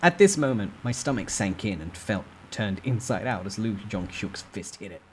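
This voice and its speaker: A man's voice: on the low side, expressive, moderate pace. Dramatic male storyteller, noisy recording. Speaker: narrator. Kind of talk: dramatic first-person storytime narration, ASMR.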